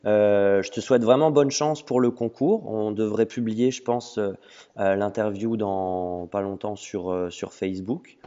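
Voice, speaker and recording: A man's voice: lowish voice, steady tone, measured pace, dark tone. A man hosting a casual interview over an audio call, asking questions with frequent hesitation sounds. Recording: lo-fi audio with a long reverb.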